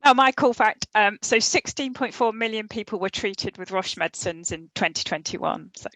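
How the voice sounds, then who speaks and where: A woman's voice: mid-range voice, animated, normal speed, a bit bright, rough recording. A woman speaking casually as a guest on a panel. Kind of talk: casual chat.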